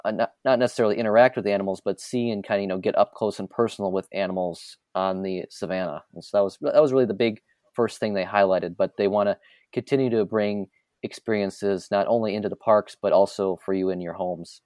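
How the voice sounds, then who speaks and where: A man's voice: fairly low pitch, steady tone, a little fast, darkish tone, no room sound. A man appearing as a podcast guest, explaining things hesitantly as he searches for words. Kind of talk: casual podcast chat.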